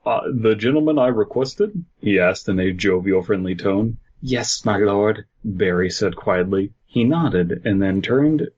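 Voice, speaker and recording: A man co-hosting a podcast, reading fiction aloud haltingly amid casual banter. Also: fairly low pitch, darkish tone, breathy edge, dull audio. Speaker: podcast co-host.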